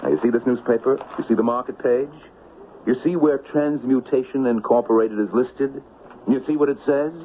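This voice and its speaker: An actor playing a smooth, commanding con-man boss, coaxing and mocking in a theatrical old-time radio style. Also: medium pitch, steady tone, normal speed, close to an everyday voice. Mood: coaxing menace. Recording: lo-fi hissy old radio recording.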